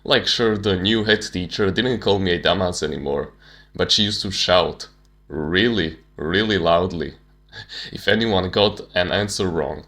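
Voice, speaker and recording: Young man, very low pitch, casual slangy storytelling. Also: measured pace, a bit bright. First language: Slovak. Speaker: self-improvement channel host. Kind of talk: personal storytelling monologue.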